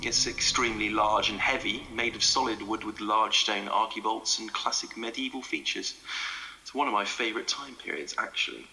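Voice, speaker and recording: An actor playing a cheerful, curious young amateur investigator in an audio drama, speaking in a wry, chatty, polite way. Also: male voice, fairly low pitch, normal speed, vocal fry, bright, forceful tone, a little hiss or hum, full character voice, rough recording. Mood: enthusiastic, intrigued. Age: young adult.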